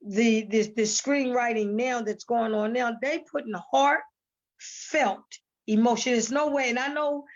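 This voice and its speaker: A woman with a fairly high voice talks casually in a panel conversation. She is chatty and emphatic, circling back to repeat words and phrases. The recording is somewhat dull and muffled. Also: steady tone, measured pace. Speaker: stage actress interviewed about a play.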